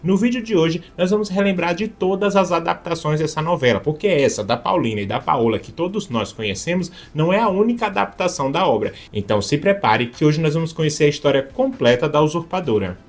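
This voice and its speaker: A man's voice: on the high side, lively intonation, brisk, hardly any pauses. A Brazilian man hosting a video, narrating a script about television history. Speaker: YouTube host.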